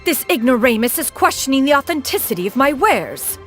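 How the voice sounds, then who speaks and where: An actress playing a haughty, ancient immortal in a video game, who speaks in formal, archaic, imperious diction. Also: female voice, sits high, sing-song, fairly quick, faint music behind. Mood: indignant, haughty. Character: haughty ancient crane immortal posing as an elegant woman. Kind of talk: scripted video game voice acting.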